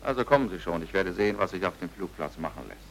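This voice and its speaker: Brusque police officer, lively low voice. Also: male voice, fast, vocal fry, slight background noise. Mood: dry, persuasive. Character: brusque, pressing male military police officer, dryly sardonic. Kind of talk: radio drama acting.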